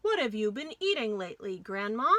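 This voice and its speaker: A woman's voice: mid-range voice, big pitch swings, a little fast, pushed and bright, dry recording. A woman voicing a cheerful male dentist from a children's storybook, with a hearty, emphatic manner. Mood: jolly. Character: cheerful male dentist who loves looking at teeth. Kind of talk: children's storybook character reading.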